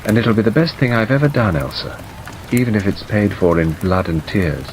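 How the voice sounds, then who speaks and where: A man's voice: lowish voice, normal speed. A man voicing a self-absorbed, brusque and wry male painter in an audiobook drama. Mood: proud, intense. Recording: steady rain noise over the voice. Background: rain ambience.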